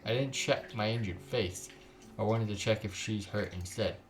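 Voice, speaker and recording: A man's voice: on the low side, moderate pace. A man hosting a gaming video, reading a visual novel aloud with casual reactions.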